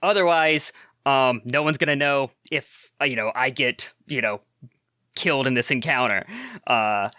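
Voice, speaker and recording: A man's voice: medium pitch, a little slow, pushed and bright, clear, resonant tone, no room sound, studio quality. A man playing a tabletop game as a guest, thinking aloud hesitantly. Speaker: player guest.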